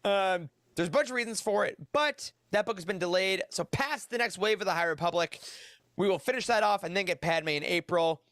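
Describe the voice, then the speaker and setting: A man with a notably high voice hosts a casual news segment. He is chatty, upbeat and quick to joke. The sound is dry, with little room around him. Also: fairly bright tone, no echo. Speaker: podcast host. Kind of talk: casual news roundup chat.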